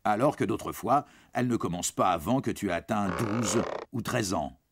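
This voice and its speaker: A man's voice: on the low side, moderate pace, background noise. A man reading scripted educational narration for children, explaining things in a reassuring way and speaking directly to the young listener.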